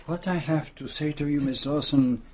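A man's voice: a little high, normal speed, noisy background, lightly acted. A male actor playing a shrewd, courteous Belgian private detective with a French-speaking Belgian accent, polite and formal in a radio drama. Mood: discreet, probing. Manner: polite, probing, formal phrasing. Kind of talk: British radio drama acting.

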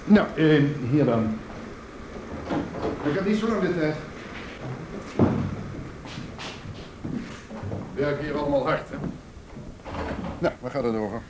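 A man's voice: on the high side, big pitch swings, normal speed, long pauses, background noise. An actor in a radio play voicing a defensive businessman, indignant and evasive, stammering under pressure. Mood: guarded, busy. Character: defensive, blustering businessman, a stepfather accused by police. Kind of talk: naturalistic radio play acting.

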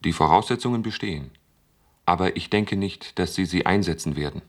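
A thinking machine with a low voice. It speaks in short, measured statements, stubborn and principled, with a childlike earnestness, as in a German science fiction radio play. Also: male voice. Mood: matter-of-fact. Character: sentient computer, childlike, stubborn, principled, longing for mother.

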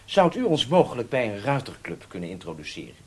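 An actor playing a smooth, polite German ex-officer in a radio drama, speaking formally and courteously. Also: male voice, on the low side, wildly swooping pitch, fast talker, heavily acted.

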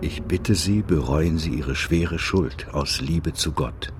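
A man's voice: rumbling low, slow pace, over music. An actor playing a solemn, pious man reading out letters of faith and repentance in a radio play. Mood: solemn, admonishing. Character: solemn, pious male letter writer, penitent and admonishing.

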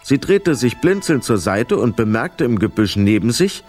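A man narrating a children's radio play as a scripted story, with expressive, dramatic delivery. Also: mid-range voice, normal speed, soft background music.

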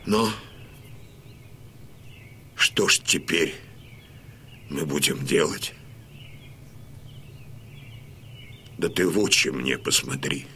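An actor playing a fierce, grieving old Cossack colonel and father in a theatrical audio drama, declaiming with heavy pauses. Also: rumbling low, vocal fry, slight background noise, full character voice. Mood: quiet, cold grief. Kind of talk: theatrical radio drama monologue. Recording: studio drama with loud music behind.

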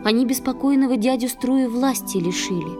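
A woman playing a gentle, sorrowful young water-spirit wife in a poetic children's audio play, speaking softly and earnestly in measured verse. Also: fairly high pitch, music in the background, lightly acted. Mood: tender, earnest.

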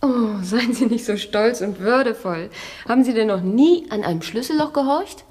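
A woman playing a spirited, sharp-tongued, mocking young gentlewoman in a German radio play. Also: a little high, expressive, moderate pace, breathy edge, big-room sound. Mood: teasing. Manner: mocking, playful.

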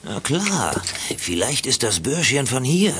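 A rough, bossy crook in a children's radio play. He talks very slowly and scornfully, with pitch that swings widely. Clear noise sits behind the voice. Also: big pitch swings. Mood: smug. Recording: noisy background.

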